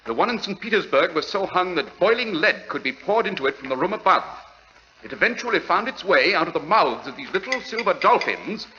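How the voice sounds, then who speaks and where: An actor playing a cool, observant gentleman detective in a 1940s radio drama, deliberate and dry in manner. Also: high-pitched, big pitch swings, heavily acted. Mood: coolly curious. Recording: dull, noisy old radio recording.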